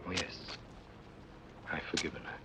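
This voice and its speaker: A man's voice: a little slow, natural voice. An actor playing a grave, courteous avenging nobleman in a solemn, restrained 1940s radio style. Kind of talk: 1940s radio drama acting. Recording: noisy old radio drama, distant.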